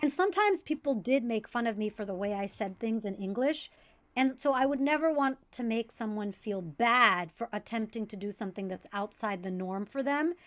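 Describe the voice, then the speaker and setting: A woman comedian whose first language is Tamil, telling stories with lively energy in a casual interview over a video call. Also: fairly high pitch, moderate pace. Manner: lively, animated storyteller. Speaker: comedian guest.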